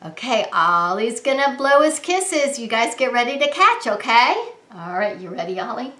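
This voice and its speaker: Animated high-voiced woman reading to preschoolers. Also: normal speed. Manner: animated, sing-song, talking to small children. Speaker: preschool teacher and host. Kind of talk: children's story time read-aloud. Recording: echoey room.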